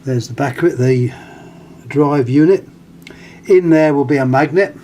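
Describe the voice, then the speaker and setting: A British man giving a casual hands-on demonstration, speaking haltingly and pausing mid-phrase as he handles things. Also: mid-range voice, mellow, slight background noise. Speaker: vintage radio collector presenting an item. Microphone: handheld camera, close to the item.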